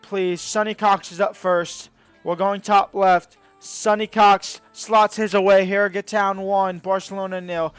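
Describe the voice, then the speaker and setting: Excitable high-voiced man commentating a game. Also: clean tone, no echo. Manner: excitable, bursts into shouts at big moments. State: voice getting hoarse. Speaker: gaming video host. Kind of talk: live video game commentary.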